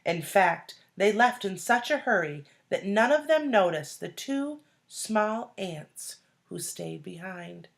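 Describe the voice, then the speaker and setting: A woman reading a children's storybook aloud like a teacher, deliberately, pausing to explain and put questions to young listeners. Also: mid-range voice, lively intonation, vocal fry. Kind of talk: expressive storybook read-aloud with asides and questions.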